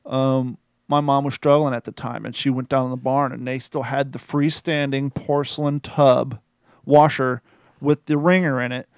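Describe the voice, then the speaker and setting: A man's voice: medium pitch, fairly even intonation, normal speed, darkish tone, smooth, no echo, studio quality. A man in his forties from Kentucky with an American accent, hosting a podcast in a folksy, colloquial storytelling way. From Kentucky, United States. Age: 40s. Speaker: podcast host. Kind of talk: casual chat, storytelling.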